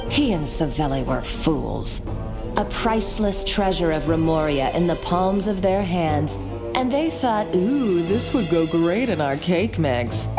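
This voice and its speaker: A woman playing a ruthless, gloating young female villain in a video game, with a mocking, theatrical, condescending manner. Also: lowish voice. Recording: game music behind.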